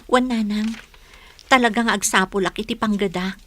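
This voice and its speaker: A woman's voice: medium pitch, fairly even intonation, moderate pace, a bit bright, no echo, close to an everyday voice. A woman playing a meek, sorrowful young village daughter in a radio drama, speaking with an Ilocano accent.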